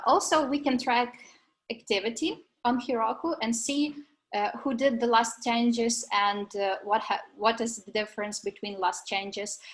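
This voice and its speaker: A young woman from Ukraine, giving a methodical, step-by-step technical presentation at a coding school graduation. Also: mid-range voice.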